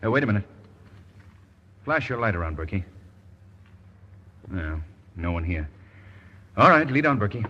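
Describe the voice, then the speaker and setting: An actor playing a calm, protective male hero in a 1940s radio drama, with a steady, reassuring manner. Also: lowish voice, normal speed, long pauses, a little hiss or hum. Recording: dull old radio broadcast with reverb.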